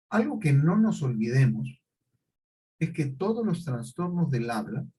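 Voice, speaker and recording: A man's voice: fairly high pitch, warm tone, slightly rough, poor audio. A middle-aged male teacher, lecturing a class and often checking in with questions.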